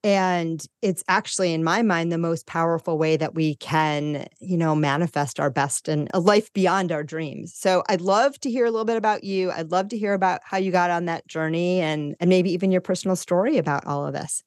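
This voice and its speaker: A woman's voice: lowish voice, steady tone, moderate pace, few pauses, dry recording, studio quality. Bubbly woman podcast host. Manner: bubbly and upbeat, chatty with fillers. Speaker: podcast host, intuitive counselor. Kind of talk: podcast hosting, casual chat.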